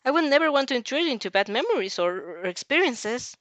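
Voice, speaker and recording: Woman doing a super bubbly gushy teen girl, high and chirpy, sweet fan audio story, dry sound. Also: very animated, a little fast, fairly bright tone, no echo, muffled recording. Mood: playful, chirpy. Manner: gushing, chirpy, exclaiming. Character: bubbly, excitable, affectionate invisible teenage girl.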